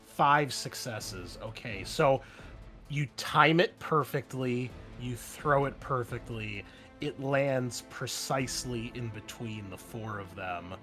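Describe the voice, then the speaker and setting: A man's voice: mid-range voice, slow pace, fairly bright tone, soft background music. A man acting as game master, narrating and refereeing a tabletop roleplaying game in an informal, conversational way.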